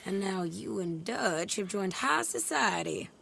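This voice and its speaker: Plainspoken outlaw widow, a little gravelly, wistful. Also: female voice, mid-range voice, lively intonation, unhurried, noisy background. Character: tough young widow, eager outlaw woman.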